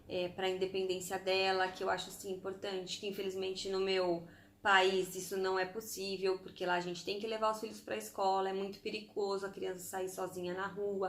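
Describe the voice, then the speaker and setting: A chatty Brazilian woman in her thirties with a Santos accent talks casually to her camera, ticking off items one at a time and dropping in German words. Her voice sits at a middle pitch. The room around her gives the sound a noticeable echo. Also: fairly bright tone. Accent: Brazilian Portuguese, from Santos. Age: 30s. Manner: chatty, spells out lists item by item with German words mixed in. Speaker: vlogger.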